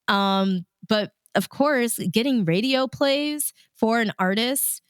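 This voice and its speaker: A woman's voice: a little high, slow pace, clear, resonant tone, no echo, clean studio sound. A woman radio DJ chatting as an interview guest, informal and enthusiastic, with plenty of "like" and "you know". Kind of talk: casual conversational interview.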